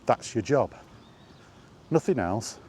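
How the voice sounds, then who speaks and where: A man giving an unscripted opinion monologue in an emphatic, deliberate manner, leaning on rhetorical questions and repetition. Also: medium pitch, lively intonation, darkish tone, slight background noise. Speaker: video host sharing opinions on gaming.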